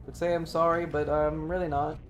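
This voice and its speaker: A man's voice: a little high, level tone, brisk. A man livestreaming a video game with casual, irreverent, playful joking commentary and swearing. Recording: game music behind. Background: video game music.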